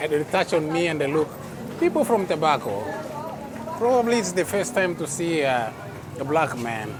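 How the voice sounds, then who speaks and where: A man from Texas chatting his way through an outdoor night food court as a travel vlogger, often exclaiming in wonder. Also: fairly high pitch. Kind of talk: casual walking vlog narration. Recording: noisy crowded street market recording. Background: crowd chatter and traffic.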